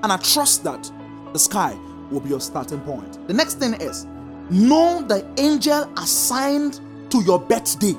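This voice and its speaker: A preacher teaching a congregation, stressing points in slow drawn-out repeated commands. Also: mid-range voice, faint music behind. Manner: lively sing-song emphasis, slow drawn-out repeated commands. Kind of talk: sermon teaching.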